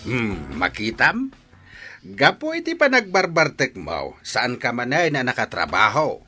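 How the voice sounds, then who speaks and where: A man's voice: mid-range voice, wildly swooping pitch, measured pace, over music. A man acting in an audio drama as a stern, middle-aged Filipino father, lecturing his son reproachfully. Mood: stern, admonishing. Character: stern, scolding father of a hard-drinking grown son.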